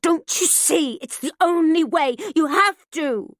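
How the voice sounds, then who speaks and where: Woman doing a frantic shrill mom, high and loud, slow dry delivery. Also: dry recording. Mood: furious. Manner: shrill, pleading, then screaming. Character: frantic, controlling middle-aged mother turning violent.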